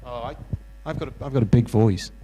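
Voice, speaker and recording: A man's voice: medium pitch, background noise. A British man facilitating a mental health workshop, encouraging and full of restarts as he talks to the group. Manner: encouraging, halting with many restarts. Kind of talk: interactive workshop teaching. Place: university hall workshop.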